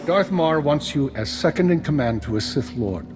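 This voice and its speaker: An actor playing a shrewd, formal, dryly polite retired spymaster in a science-fiction video game. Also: male voice, a little high, lively intonation, moderate pace, over music, lightly acted. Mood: measured, grave. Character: shrewd, unflappable male retired imperial spymaster. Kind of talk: scripted video game acting.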